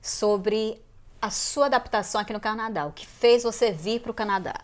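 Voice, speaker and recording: Brief: woman, show host, mid-pitched, reads a polished intro, then gives short nods of agreement. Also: normal speed. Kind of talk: interview hosting.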